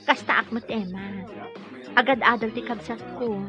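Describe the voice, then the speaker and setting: An actress playing a practical, frank young coworker and confidante in a radio drama. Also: female voice, fairly high pitch, fairly even intonation, moderate pace, natural voice. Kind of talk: Ilocano radio drama acting. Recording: muffled audio under loud background music.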